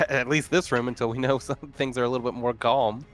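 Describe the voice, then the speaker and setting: A man's voice: mid-range voice, fairly even intonation, brisk, faint music behind. A man chatting casually as a player in a roleplaying game, laid-back, jokey and slangy.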